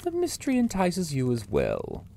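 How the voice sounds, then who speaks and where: A stern, measured listener in an audio drama, his voice gravelly and dark. He swings widely in pitch as he probes the story. Faint music sits behind him. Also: huge pitch swings, heavily acted. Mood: cool. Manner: measured, cool, probing. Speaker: listener hearing the tale.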